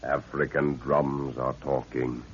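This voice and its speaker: An actor serving as the grave, dramatic narrator of an old jungle mystery radio serial, reading scripted narration. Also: male voice, very deep voice, flat delivery, slow, very noisy. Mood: ominous. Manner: portentous, dramatic. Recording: tinny, band-limited 1930s radio recording.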